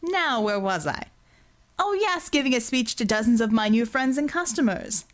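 A woman voicing a smooth-talking male boss who orates slowly like a politician, in an audiobook. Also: a little high, expressive, lightly acted. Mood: smug, breezy. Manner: slow, politician-like oratory.

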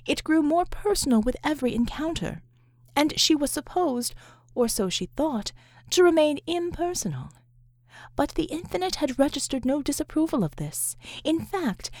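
A woman reading dramatic fiction as an audiobook narrator, in a measured, deliberate way. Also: high voice, a little mellow, no room sound, studio quality. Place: close, dry recording space.

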